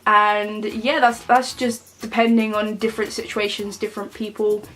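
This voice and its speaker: A woman's voice: medium pitch, flat delivery, moderate pace, fairly bright tone, low-quality recording. A woman in her twenties talking to camera in a casual vlog, sounding nasal from a cold, chatty and plain-spoken with many fillers. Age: 20s. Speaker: vlogger.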